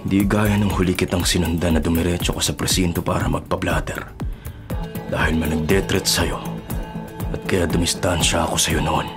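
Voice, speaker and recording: A man's voice: sits low, fairly even intonation, moderate pace, over music, natural voice. A Filipino man acting a ruthless, middle-aged hitman and father in a radio drama, with a menacing, theatrical delivery. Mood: cold, plotting.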